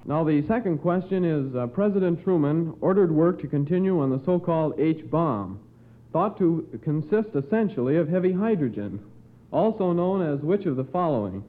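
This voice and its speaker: A man's voice: a little high, animated, normal speed. A sixteen-year-old young man formally reading out quiz questions as a guest challenger on radio. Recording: tinny, band-limited 1950s radio recording.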